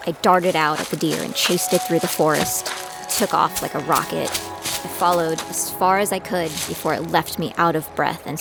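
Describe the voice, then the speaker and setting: A woman's voice: fairly low pitch, gravelly. A woman playing a gritty young female survivor who tells her own story as scripted audio drama narration. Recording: music bed behind the voice.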